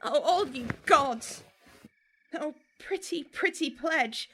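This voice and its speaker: A woman playing a torn, teasing young Trojan woman in slow, wavering, theatrical Shakespearean verse. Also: high-pitched, animated, bright, forceful tone, a little hiss or hum, heavily acted.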